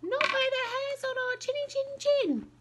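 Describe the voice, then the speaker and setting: A woman squeaking as a tiny defiant little pig. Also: expressive, moderate pace, noisy background. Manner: high squeaky put-on voice.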